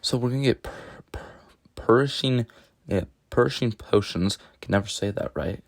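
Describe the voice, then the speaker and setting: A man with a rough voice narrating a video game strategy guide, explaining step by step in a casual, joking way. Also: mid-range voice, normal speed, no echo, studio quality. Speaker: gaming tutorial narrator. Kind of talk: gameplay commentary voice-over.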